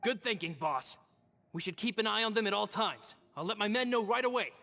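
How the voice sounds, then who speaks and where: An actor playing a brisk, dutiful henchman in a video game, loyal and obedient to the boss. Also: high-pitched, lively intonation, pushed and bright, a little hiss or hum, heavily acted. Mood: alert, obedient.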